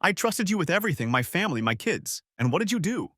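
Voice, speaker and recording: A man's voice: mid-range voice, huge pitch swings, normal speed, a bit bright, no room sound, clean studio sound. A man voicing a betrayed husband in a story, cold, cutting and bitterly angry. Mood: snarling rage. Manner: cutting, accusatory.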